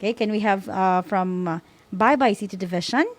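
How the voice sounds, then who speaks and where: A woman's voice: mid-range voice, a little fast. A woman with a Filipino accent co-hosting an online school broadcast in a conversational way. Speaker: co-host.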